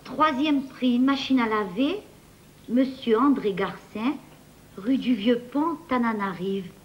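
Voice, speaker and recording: High-voiced woman reading out deliberately. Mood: deliberate, announcing. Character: neighbourhood woman reading a newspaper aloud. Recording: slightly muffled, faint noise.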